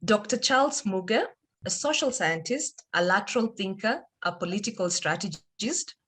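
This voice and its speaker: A woman's voice: medium pitch, lively intonation, normal speed, fairly bright tone, breathy edge. Chatty woman moderating a panel. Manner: conversational, recapping with tag questions.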